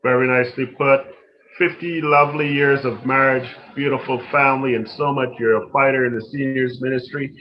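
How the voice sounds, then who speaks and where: A man's voice: medium pitch, fairly even intonation, a little fast, a little hiss or hum. Male interviewer, muffled audio. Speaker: interviewer, host. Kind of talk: polite interviewing.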